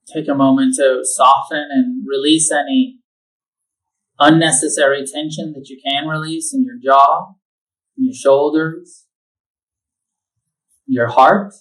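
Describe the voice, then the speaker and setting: A man leading a guided meditation, giving slow, calm instructions with long pauses. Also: medium pitch, recorded from a distance, low-quality recording. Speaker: meditation teacher.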